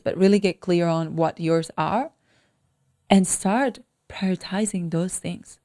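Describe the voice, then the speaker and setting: A woman from the Dominican Republic giving a motivational solo podcast talk as a coach, speaking emphatically and deliberately, repeating phrases for emphasis. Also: fairly low pitch, vocal fry, a little mellow, clear, resonant tone.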